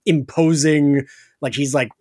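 A man's voice: a little high, steady tone, unhurried, darkish tone. A man hosting a casual podcast chat in an agreeable, giggly manner. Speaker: podcast host.

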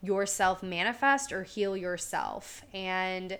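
A woman's voice: mid-range voice, slow pace, fairly bright tone, clear, resonant tone. A woman hosting a podcast interview, talking with enthusiasm and a lot of filler words. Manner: enthusiastic, lots of filler "like" and "really". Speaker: podcast host.

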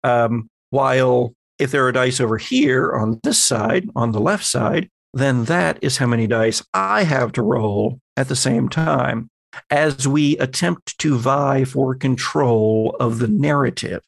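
A man acting as game master, explaining tabletop game rules in a measured, conversational, lightly playful way. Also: mid-range voice, expressive, gravelly, no echo.

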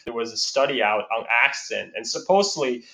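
A man hosting a casual interview podcast, speaking with a slight Chinese accent, halting and correcting himself as he goes. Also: medium pitch, animated, gravelly, pushed and bright. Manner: halting, with fillers and self-corrections. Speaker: podcast host. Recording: roomy and slightly dull.

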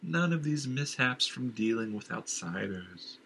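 A man voicing a scornful, disembodied voice inside a king's head, drawing his words out slowly and needling the king. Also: mid-range voice, expressive, vocal fry, pushed and bright, a little hiss or hum, exaggerated voice. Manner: slow, drawn-out, needling delivery.

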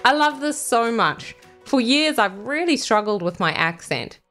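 A woman's voice: on the high side, sing-song, unhurried, music in the background. A woman with a South African and New Zealand accent narrating in a candid voice-over. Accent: mix of South African and New Zealand.

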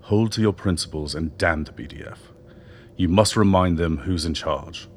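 A deep-voiced, silver-tongued older mentor. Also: male voice. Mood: assured, persuasive. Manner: eloquent, measured, grandiloquent. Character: older male mentor doctor, silver-tongued, persuasive political strategist. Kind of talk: radio drama acting. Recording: noticeable background noise.

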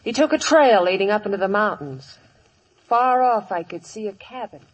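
Radio Western dance-hall woman: smoky, hard-bitten, deadpan wit, on a dull old broadcast recording. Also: mid-range voice, moderate pace, husky. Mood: narrating. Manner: dry, wisecracking. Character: tough, wry female dance-hall singer. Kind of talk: 1950s Western radio drama acting.

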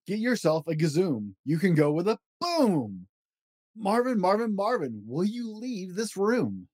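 A man's voice: high-pitched, very animated, unhurried, a little mellow, no room sound. A man hosting a children's story podcast, reading aloud expressively with an emphatic, rhythmic delivery.

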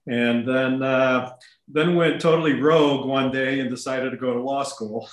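An older American man whose first language is Ukrainian, chatting as a podcast guest, halting with many uh's and small chuckles. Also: mid-range voice, steady tone. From United States. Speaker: podcast guest, doctor and lawyer. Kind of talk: casual interview conversation. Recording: echoey room.

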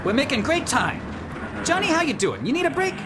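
Man acting an excitable band leader, swooping pitch. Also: fairly quick, noisy background, heavily acted. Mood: upbeat. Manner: theatrical, exclamatory, talks to himself. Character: earnest, excitable, sweetly fussy male band leader. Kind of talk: comedic radio play acting.

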